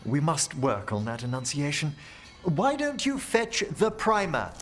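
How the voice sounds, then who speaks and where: An actor playing a gentle, formal older necromancer and mentor in a video game. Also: male voice, a little high, huge pitch swings, a little slow, heavily acted. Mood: fond, proud. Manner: formal, precise, tender. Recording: music behind the voice.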